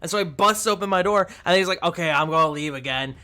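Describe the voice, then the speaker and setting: A man's voice: high-pitched, fast, pushed and bright. A man running a tabletop game, chatting casually with his players in a laid-back, profane way. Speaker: game master.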